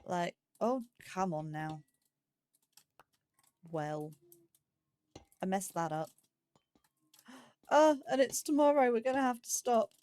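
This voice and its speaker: A woman's voice: medium pitch, lively intonation, mellow. Chatty woman narrating her block building. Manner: chatty, talks to herself while building, quick yelps when startled. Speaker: let's play host. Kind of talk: casual gameplay commentary.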